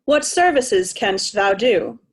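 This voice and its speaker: A woman's voice: medium pitch, sing-song, slow, husky, heavily acted. A woman playing an imperious, impatient old king in a readers' theatre Shakespeare play. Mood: brusque, probing. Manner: commanding, peremptory questioning.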